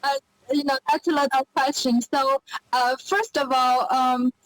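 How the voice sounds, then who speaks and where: A woman's voice: high-pitched, steady tone, unhurried, a bit bright, low-quality recording. A woman from Shanghai with a Chinese accent, speaking as an interview guest who explains things at length with frequent hesitations. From China (Shanghai). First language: Mandarin Chinese. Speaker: guest, linguist and cross-cultural communication expert. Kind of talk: casual interview talk.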